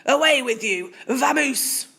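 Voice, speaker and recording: A woman's voice: high-pitched, lively intonation, takes it slowly, vocal fry, sharp tone, husky, voice pushed up, exaggerated voice. A woman voicing a grumpy, blustering male bear in a children's storybook, emphatic and dramatic, easily exasperated. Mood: outraged.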